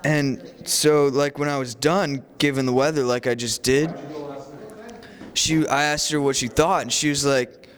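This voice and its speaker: A man's voice: medium pitch, steady tone, unhurried. A man telling a casual, rambling story, full of "like" and "you know" fillers, joking and mock-serious. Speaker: student show presenter.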